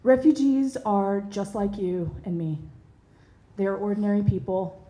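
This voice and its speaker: A woman in her thirties from Bosnia and Herzegovina tells a personal story, earnest and measured, with soft pauses and hesitations. Her voice is warm and mellow, and it rings a little in an echoey room. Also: mid-range voice. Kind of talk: personal story told to an audience.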